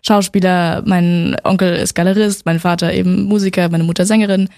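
A woman's voice: on the low side, brisk, dry recording. Young woman, twenties, chatty interview guest, laughs a lot, dry close sound. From Switzerland, raised in Berlin. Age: 20s. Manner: chatty, laughs often, lively storytelling. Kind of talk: casual interview chat.

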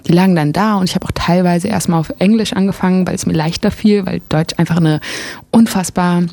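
A woman from Germany chats easily in an interview, her voice carrying a gravelly edge. She hesitates often and fills gaps with small sounds. The recording is dry and close, with no room around it. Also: lowish voice, brisk, no echo. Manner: relaxed, hesitant with frequent fillers. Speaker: musician guest. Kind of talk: casual interview talk.

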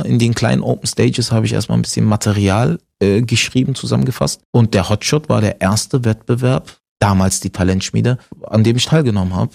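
A man's voice: lowish voice, creaky, a little mellow. Jokey man in casual conversation. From Berlin, Germany. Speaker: stand-up comedian, interview guest. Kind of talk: casual chat.

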